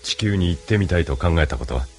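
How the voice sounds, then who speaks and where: 低く威厳ある軍の大佐. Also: male voice, low voice, animated, faint music behind. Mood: probing, assured. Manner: measured, authoritative. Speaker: voice actor. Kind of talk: anime audio drama acting.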